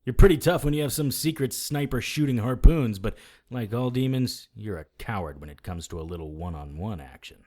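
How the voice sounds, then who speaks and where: An actor playing a cocky, sarcastic hardboiled supernatural detective, narrating and trading defiant lines in a noir audio drama. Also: male voice, mid-range voice, animated, brisk, a little mellow, close to an everyday voice. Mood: cocky taunting.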